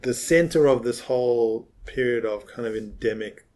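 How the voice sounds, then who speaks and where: A man answering as an analyst in an unscripted interview, explaining things in a halting way. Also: medium pitch, a little mellow.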